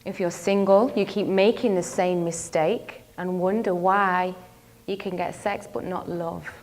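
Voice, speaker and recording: A woman's voice: on the low side, fairly even intonation, unhurried. A woman relationship coach giving a motivational talk to an audience, telling a personal story and asking the crowd questions. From not from the local area; lives in London.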